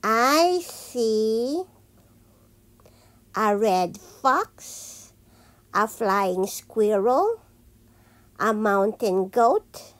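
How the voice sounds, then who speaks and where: Very slow, high-voiced woman reading to children. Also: sing-song. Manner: singsong, repetitive phrasing, deliberate enunciation for young children. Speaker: teacher reading a picture book aloud. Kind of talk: children's read-aloud storytelling.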